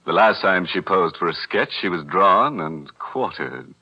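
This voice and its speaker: An actor playing a mock-sinister, tongue-in-cheek horror host on a 1940s radio show. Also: male voice, low-pitched, expressive, unhurried. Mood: gleefully macabre. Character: ghoulish, gleefully punning male horror host. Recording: muffled old radio recording, music behind.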